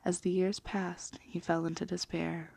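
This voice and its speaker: A woman speaking in a gentle, unhurried, hushed and breathy ASMR style, soft-spoken and half whispered, as for bedtime stories. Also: on the low side, flat delivery, no room sound. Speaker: ASMR video host and storybook reader.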